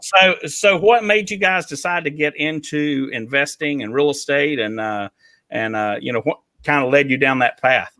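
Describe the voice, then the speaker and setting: A man's voice: fairly high pitch, moderate pace, bright, forceful tone, no echo. A man hosting a live talk show, upbeat and joking, with lots of fillers and restarts. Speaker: host.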